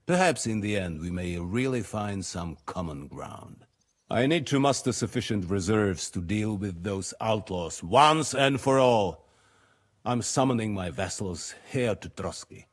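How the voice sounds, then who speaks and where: A man playing a shrewd, weary middle-aged lord in a video game, speaking in a formal, measured and commanding manner. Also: fairly low pitch, animated. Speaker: medieval nobleman. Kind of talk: video game cutscene acting.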